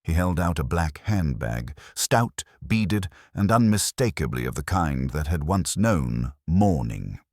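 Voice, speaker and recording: A man narrates a literary mystery in a very deep, slightly husky voice. His delivery is measured and wry, with lively movement in pitch and a close, dry sound. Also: no room sound. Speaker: audiobook-style narrator.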